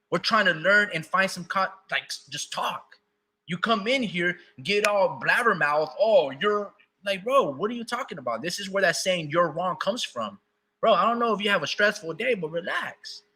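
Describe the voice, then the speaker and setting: Male podcast co-host, slightly higher voice, bright, husky, laid-back arguing. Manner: talks in quick interruptions, says "bro" and "hold on" a lot. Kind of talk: casual heated debate.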